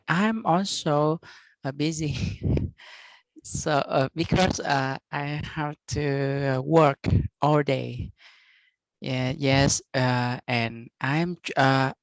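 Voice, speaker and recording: A student speaks very slowly and haltingly over a dry video call, stopping often with fillers. The English is broken and searching for words. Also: medium pitch, smooth, no echo, poor audio. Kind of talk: halting answer in class.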